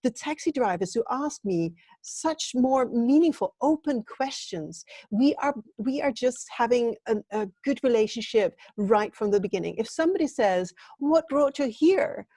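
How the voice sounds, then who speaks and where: A woman's voice: fairly high pitch, lively intonation, normal speed, hardly any pauses, dark tone, dry recording. A middle-aged woman from Denmark, a guest on a video call interview, answering in an earnest, thoughtful way with some fillers. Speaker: interview guest, training consultant.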